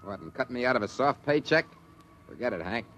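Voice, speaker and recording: A man's voice: medium pitch, expressive, brisk, a bit bright, natural voice. A man acting in a 1950s radio drama, playing a cocky, wisecracking young test pilot with a breezy manner. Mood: wry, cocky. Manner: breezy, joking. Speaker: radio actor. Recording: faintly noisy old radio broadcast.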